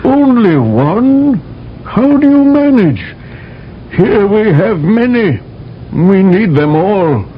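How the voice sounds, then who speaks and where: Solemn alien doctor, talks real slow n formal, higher voice, muffled old radio sound. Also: male voice, sing-song, a little hiss or hum, exaggerated voice. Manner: measured, formal, slow speech. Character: solemn male alien physician, fatalistic. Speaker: physician third class. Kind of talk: 1970s radio drama acting. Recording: dull old radio broadcast.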